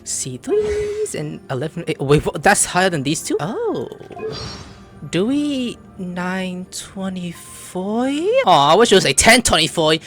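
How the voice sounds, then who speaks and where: A woman streamer with a fairly low voice and hugely swinging intonation. She chats casually through a game, gushing and exclaiming at the characters, with game music clearly behind her. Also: very animated, unhurried, a bit bright. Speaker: gameplay streamer. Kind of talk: excitable let's-play commentary, casual chat.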